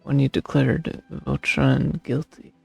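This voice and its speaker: A man's voice: mid-range voice, level tone, slow, gravelly, darkish tone, husky, no echo, soft background music. A man streaming a video game, commenting casually and reading dialogue options aloud.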